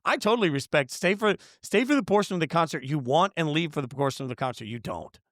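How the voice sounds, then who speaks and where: Animated male podcast guest, fairly high voice. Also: fast, no echo, clean studio sound. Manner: animated storyteller, lots of fillers. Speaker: sportswriter guest. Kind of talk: casual interview storytelling.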